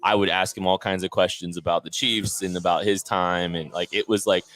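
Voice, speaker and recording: A man's voice: deep voice, fairly even intonation, a little fast, fairly bright tone. A man hosting a live draft stream from a sports bar, chatting casually, laughing often and announcing picks.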